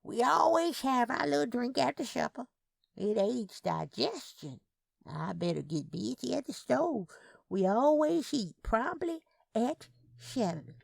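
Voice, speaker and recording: A woman voicing a tiny, genteel, stubborn elderly Southern aunt in an audio story, gentle and folksy. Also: a little high, lively intonation, unhurried, creaky, no echo, voice pushed up, heavily acted. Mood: homely, brisk.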